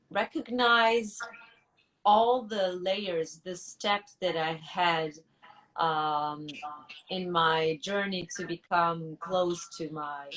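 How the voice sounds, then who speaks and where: A woman musician answering interview questions thoughtfully, pausing and restarting often as she searches for words. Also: lowish voice, a bit bright, distant mic, poor audio. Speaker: musician guest.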